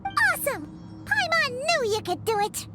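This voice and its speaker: A woman voicing a tiny, chirpy female companion who talks about herself in the third person, in a childlike squeak. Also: big pitch swings, unhurried, loud music behind the voice, heavily acted. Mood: excited. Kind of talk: video game voice acting.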